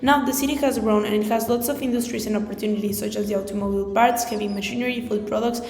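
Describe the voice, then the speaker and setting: A woman's voice: medium pitch, fairly even intonation, fast talker, darkish tone. Young woman reading in echoey hall. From Mexico. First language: Spanish. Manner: careful, formal reading with small restarts. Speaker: student presenter. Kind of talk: read school presentation. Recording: echoey room with long reverb and faint music.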